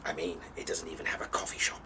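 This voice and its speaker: A man's voice: very deep voice, little pitch movement, fast, bright, forceful tone, in a whisper, heavily acted. A man playing a small, fussy, shrew-like alien actor in an audio drama, declaiming in a florid, plummy, theatrical manner. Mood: fussy, effusive. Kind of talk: scripted audio drama acting.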